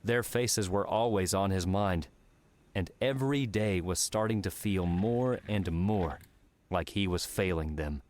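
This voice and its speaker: Low-voiced man narrating an audiobook. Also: measured pace, a little mellow. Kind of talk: scripted story narration.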